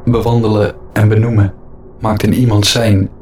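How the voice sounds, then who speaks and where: A man delivering a reflective spoken-word monologue in short, deliberate, repeated phrases for an audio-play podcast. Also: fairly low pitch, little pitch movement, a crowd in the background. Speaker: podcast host. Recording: echoey room sound, faint background noise.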